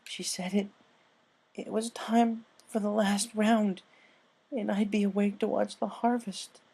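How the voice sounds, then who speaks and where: A traumatized young pegasus mare from a pony storybook, acted out by a man. The voice is lifted high and soft-toned, halting and tearful, as if barely holding together. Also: level tone, creaky, husky, heavily acted. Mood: shaken, haunted. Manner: halting, sobbing, stammering delivery.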